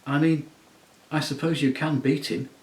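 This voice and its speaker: A man's voice: fairly high pitch, steady tone, moderate pace, voice pushed up, close to an everyday voice. A man voicing a young king who speaks hesitantly. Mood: uneasy. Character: young, doubtful king, a boy. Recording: roomy echo.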